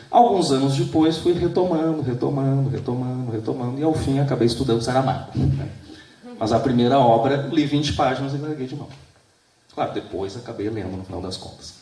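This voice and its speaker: A man from Brazil, a professor speaking on a literature panel. He talks in a reflective, measured way, often adding "né". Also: mid-range voice, a little mellow. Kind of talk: panel discussion with personal anecdotes. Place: auditorium at a literary festival. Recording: echoey hall, distant mic.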